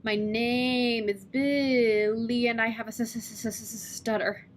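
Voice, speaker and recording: A woman voicing a young boy who stutters, drawing out sounds slowly as he tries to get his words out. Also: fairly high pitch, fairly even intonation, a little hiss or hum, lightly acted. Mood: practicing. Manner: stuttering, slow and drawn out.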